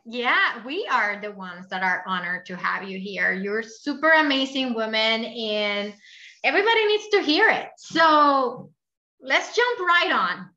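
A woman's voice: a little high, animated, unhurried, bright, forceful tone, lo-fi sound. A woman hosting an interview podcast, enthusiastic and effusive with her guest. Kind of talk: casual interview chat.

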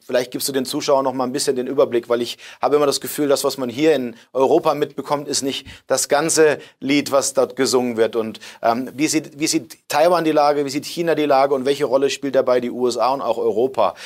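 A male interviewer with a middle-pitched voice. He is earnest and speaks at length, stringing his questions together with fillers before handing over to his guest. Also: fairly even intonation, a little fast, darkish tone. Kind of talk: conversational interview.

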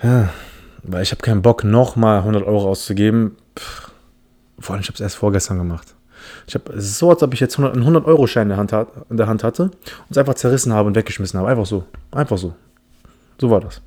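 A man's voice: fairly low pitch, quick pace, warm tone. A man from the Philippines hosting a casual solo podcast, telling a story in a rambling, self-mocking way with many restarts. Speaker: podcast host.

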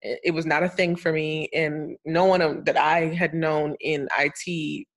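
Brief: young woman guest, slightly low pitch, easy chatty delivery, laughs between phrases. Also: flat delivery, normal speed. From Florida. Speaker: guest, cybersecurity consultant. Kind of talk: casual interview talk.

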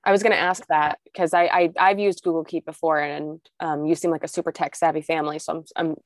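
A woman's voice: low-pitched, flat delivery, fast talker, dry recording. A woman co-hosting a podcast, a bit nasal from a cold, chatting casually and asking follow-up questions. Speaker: podcast co-host. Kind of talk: casual chat.

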